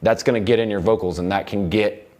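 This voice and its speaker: Low-voiced man teaching audio production. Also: fairly even intonation, fast, darkish tone. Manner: informal, loose explaining. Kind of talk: casual hands-on software tutorial. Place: recording studio control room. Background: music playback from the session.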